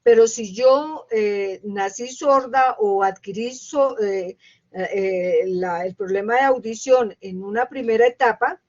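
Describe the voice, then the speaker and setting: A woman teaching an online university class. She reads a passage quickly, then explains it slowly with many hesitations. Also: a little high, darkish tone. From Colombia. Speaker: university lecturer. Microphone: computer mic on a video call. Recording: dull lo-fi online class audio.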